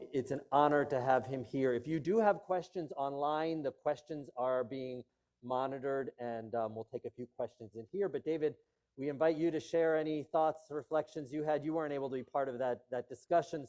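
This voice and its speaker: A rabbi in a synagogue sanctuary introducing a guest, his voice dark and mellow. He reads credentials in a measured, steady way, at a middle pitch. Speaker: rabbi hosting a service discussion.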